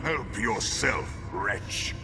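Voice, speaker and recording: An actor playing a cruel, contemptuous undead lich sorcerer in a fantasy game, menacing and imperious, with long reverb and music. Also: male voice, fairly low pitch, lively intonation, drawn-out words, vocal fry, heavily acted. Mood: contemptuous menace. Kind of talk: dramatic fantasy game villain acting. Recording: long cavernous reverb under loud music.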